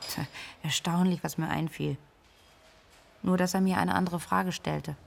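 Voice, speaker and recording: A woman's voice: lowish voice, steady tone, measured pace, vocal fry, heavily acted. An actress playing a composed, clinically precise medical woman, recounting events interview-style in a radio drama.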